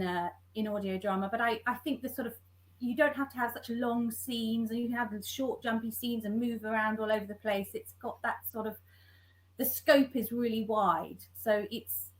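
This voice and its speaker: Woman, scriptwriter, halting and reflective, a touch high-pitched. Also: low-quality recording. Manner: hesitant, many restarts and fillers. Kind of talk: thoughtful panel chat. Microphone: video call.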